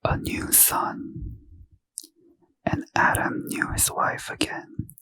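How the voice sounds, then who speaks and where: A man reading scripture aloud as a soft, slow ASMR whisper, gently lilting. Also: rough recording. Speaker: reader.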